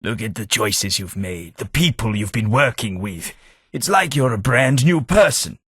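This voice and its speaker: An actor playing a forgetful older gentleman in a game, speaking in a husky, measured and formal way. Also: on the low side, lively intonation, dry recording, clean studio sound, heavily acted. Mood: calm. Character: forgetful older English gentleman companion, weary and reflective. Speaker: game companion character. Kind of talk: video game voice acting.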